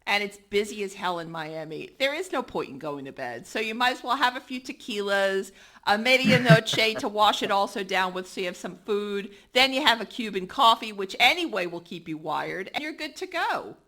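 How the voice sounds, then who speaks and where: A woman's voice: mid-range voice, lively intonation, moderate pace, pushed and bright. A woman hosting a podcast interview in a breezy, teasing, chatty way. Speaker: podcast host. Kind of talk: casual interview chat.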